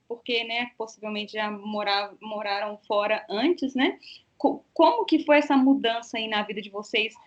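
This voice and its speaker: A woman's voice: mid-range voice, normal speed. A woman hosting a casual interview, chatty and full of tag questions, over a video call. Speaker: interview host, works in student exchange. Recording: dull lo-fi call audio.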